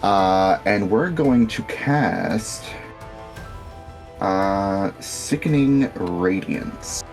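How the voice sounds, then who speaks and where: A man's voice: low voice, animated, very slow, darkish tone, faint music behind. A man playing a tabletop roleplaying game, narrating casually and hesitantly as he works things out aloud.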